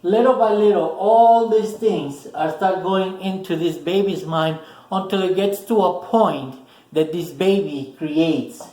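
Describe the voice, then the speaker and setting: A man with a Spanish accent, a native Spanish speaker, explaining a self-help lesson slowly in short, repeated phrases. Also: high-pitched, mellow, reverberant, poor audio. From Spanish-speaking country. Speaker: self-help video host. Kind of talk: explanatory talk to camera.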